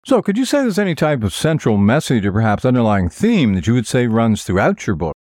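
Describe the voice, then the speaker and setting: A man's voice: medium pitch, huge pitch swings, brisk, darkish tone, no room sound, studio quality. A man hosting an author interview show, reading prepared introductions and questions in a polished presenter's manner. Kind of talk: scripted radio-style interviewing.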